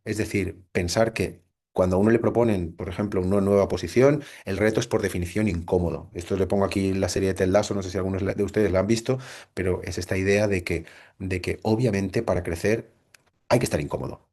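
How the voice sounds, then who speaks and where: A man's voice: deep voice, fairly even intonation, fairly quick, darkish tone. A middle-aged man from Spain with a Spanish accent, giving a reflective recorded lecture to a computer, full of rhetorical questions and restarts. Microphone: computer mic.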